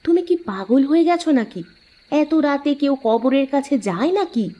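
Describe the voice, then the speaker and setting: A woman acts a village wife in a cartoon, concerned and practical. Her voice is fairly high and coaxing, with a chiding edge, in slightly muffled lo-fi sound. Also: animated, normal speed, dark tone. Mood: chiding, anxious. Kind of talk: cartoon dialogue.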